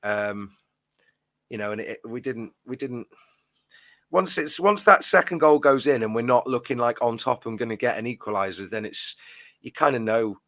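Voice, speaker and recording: A man's voice: medium pitch, normal speed, fairly bright tone, dry recording. A man giving post-match reaction as a fan channel co-host, rambling and trailing off into a mutter at the ends of his phrases.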